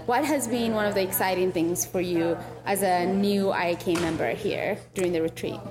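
A woman's voice: lowish voice, normal speed. A woman interviewing people at a retreat in an upbeat, encouraging way. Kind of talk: casual short interviews. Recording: long reverb with clear music behind.

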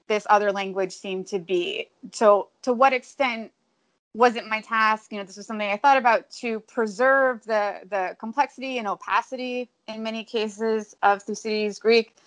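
A woman translator answering interview questions in a measured, academic way, with frequent ums and restarts. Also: a little high, a bit bright, rough recording. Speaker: translator of ancient Greek.

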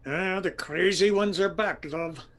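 A fond, teasing older husband played by a male actor in a comedy audio drama. He speaks with gentle wryness and stays unflappable. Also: high-pitched, unhurried. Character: affectionate, teasing, unflappable older spouse of a singer.

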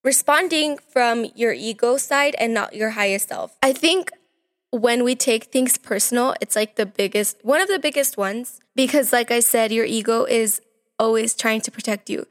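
A young woman talks through a self-help podcast in a loose, chatty way, leaning on filler words as she goes. Her voice sits a little high and carries a slight rasp from allergies. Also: moderate pace. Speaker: podcast host.

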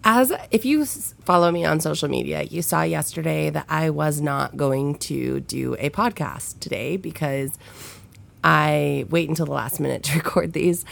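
A young woman hosting her podcast, talking frankly and swearing freely, with a nasal, stuffed-up voice from a head cold and sniffles. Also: normal speed. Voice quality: low, gravelly, nasal. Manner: frank and profane, with many fillers. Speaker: podcast host. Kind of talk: candid solo podcast chat.

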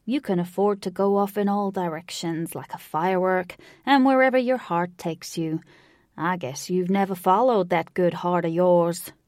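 A woman voicing a large, folksy, jovial elderly man with a slow Southern American cowboy drawl in an audiobook story. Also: lowish voice, darkish tone, dry recording, studio quality, lightly acted. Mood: jovial, encouraging.

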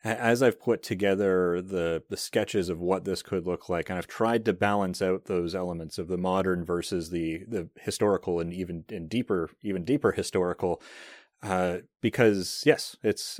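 A man's voice: low voice, no room sound, clean studio sound. A man hosting a podcast, chatting in a friendly way with his guest. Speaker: podcast host. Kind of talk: casual interview.

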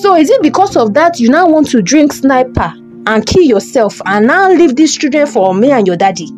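A woman playing a loud, exasperated older mother scolding her daughter in an audio drama, with a Nigerian accent. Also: high-pitched, sing-song, moderate pace, faint music behind.

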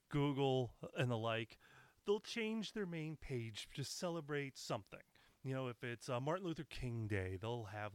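A man hosting a solo podcast, his voice raspy as he gets over being sick, talking bluntly and with a lot of swearing. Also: mid-range voice, no echo. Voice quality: raspy from recent illness. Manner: blunt, profane, impassioned. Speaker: podcast host.

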